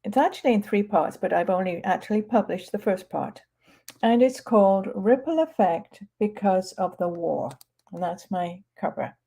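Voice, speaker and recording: An older woman from England with a British accent, an author chatting casually about her book, relaxed and chatty with frequent pauses. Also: medium pitch, gravelly, slightly rough.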